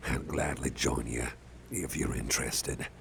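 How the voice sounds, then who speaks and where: Man acting very deep, gravelly, caring boss. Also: fairly even intonation, measured pace, slight background noise, full character voice. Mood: friendly, musing. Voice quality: deep gravelly murmur, often breathy. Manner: gentle, reassuring, rambling with soft hesitations. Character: big, gruff, caring male boss, protective and friendly. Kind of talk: ASMR personal-attention roleplay.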